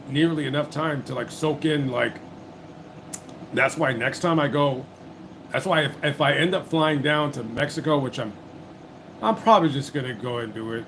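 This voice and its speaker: A man chatting loosely on his own livestream, voice sitting a little high for a man. His talk rambles and circles back, full of restarts and curse words. Faint noise hums underneath. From United States. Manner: rambling, swears a lot, many restarts. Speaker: livestream host. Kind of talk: casual livestream chat.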